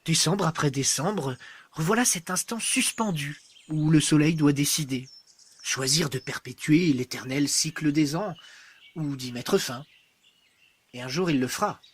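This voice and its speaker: A man performing a poetic monologue as a storyteller, theatrical and moving between hushed and intense delivery. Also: a little high, unhurried, slightly rough. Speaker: writer and storyteller.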